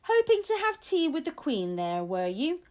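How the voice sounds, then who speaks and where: Woman as an amused royal guardsman, high-pitched. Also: wildly swooping pitch, normal speed, a little mellow. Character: gentle, amused male royal guards, official yet friendly.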